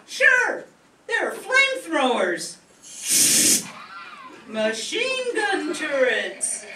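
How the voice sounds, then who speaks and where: A woman playing an eager next-door neighbor giving an emphatic sales pitch in a comic radio commercial. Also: wildly swooping pitch, pushed and bright, a little hiss or hum, exaggerated voice. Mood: enthusiastic, selling. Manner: emphatic, drawn-out pitchwoman delivery. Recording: echoey stage, distant mic.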